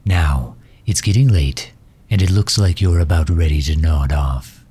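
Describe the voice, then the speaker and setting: A man acts out a megalomaniac villain with an extremely low, heavily breathy and husky voice. He is formal and doting, speaking slowly and gently as he cares for his wounded enemy in an ASMR roleplay. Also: exaggerated voice. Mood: gentle concern. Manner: formal, solicitous, unhurried. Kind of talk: ASMR roleplay, intimate acting.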